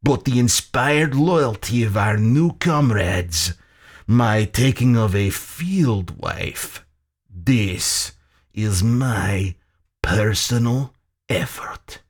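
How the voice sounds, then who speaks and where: Man acting an oily, gloating general, slow and menacing. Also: lowish voice, animated, husky, heavily acted. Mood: boastful. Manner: sultry, theatrical, deliberate.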